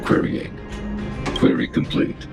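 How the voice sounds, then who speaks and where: A man's voice: slow pace, over loud music. A man voicing a huge, emotionless robot overlord in a video game, with formal, clipped machine phrasing and a robotic filter. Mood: cold, procedural.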